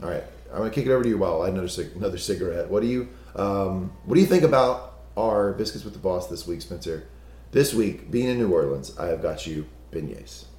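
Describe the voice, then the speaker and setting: A man with a very low, hoarse and gravelly voice talks in a loose, chatty way, laughing at himself and restarting his sentences. The recording has a roomy echo around it. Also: lively intonation, fast, darkish tone. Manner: chatty, self-deprecating, restarts often. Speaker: podcast co-host. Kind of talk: casual TV recap chat.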